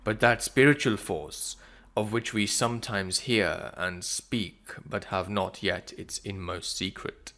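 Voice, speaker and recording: A man reads philosophical prose as a solemn, measured narration, pausing deliberately between phrases. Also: on the low side, gravelly, fairly bright tone. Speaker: narrator of a spiritual audiobook. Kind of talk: scripted reading of philosophical prose.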